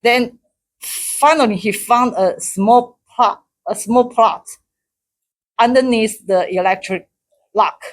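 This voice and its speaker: Halting Taiwanese woman telling a story. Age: middle-aged. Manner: halting, with many restarts and repeated words; laughs often, gets high and loud when excited. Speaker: club speaker. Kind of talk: personal story in a speaking club.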